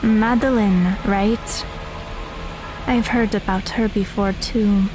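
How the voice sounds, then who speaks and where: A woman playing a guarded, downcast young woman in an audio drama, speaking in a hesitant, measured way. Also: medium pitch, fairly even intonation, background noise, natural voice. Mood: guarded, surprised. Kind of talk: scripted audio drama dialogue.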